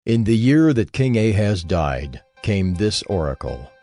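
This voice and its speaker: A man gives formal scripture announcements in a very low voice. He speaks slowly and deliberately. The sound carries clear echo, with faint music behind. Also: big pitch swings, mellow. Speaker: announcer of headings and oracles.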